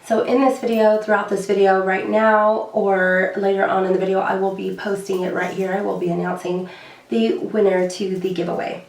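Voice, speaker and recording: A young woman beauty vlogger with a hoarse, recovering voice, chatting casually to camera with many fillers and repeated words. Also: mid-range voice, level tone, moderate pace, echoey room, recorded from a distance, poor audio.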